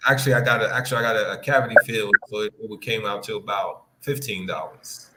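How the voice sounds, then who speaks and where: A man chatting casually as a guest, matter-of-fact and a little halting. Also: lowish voice. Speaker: podcast guest. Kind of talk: casual conversation. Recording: clicky, noisy remote connection.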